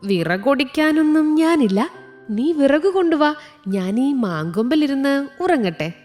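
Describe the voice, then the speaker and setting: A woman's voice: high-pitched, sing-song, a little slow, a little mellow, faint music behind. A woman voicing a lazy, greedy female housefly in a children's cartoon story, whining and stubborn. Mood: lazy, dismissive. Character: lazy, greedy female housefly who shirks all work.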